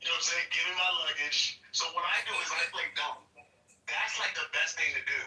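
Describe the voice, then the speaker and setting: A laid-back man tells stories in casual chat and keeps repeating his point. His voice is fairly high and very bright, and it is recorded off the mic in an echoing room. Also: steady tone, brisk, distant mic. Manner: laid-back, repeats himself, laughs between lines. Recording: roomy echo, off the mic.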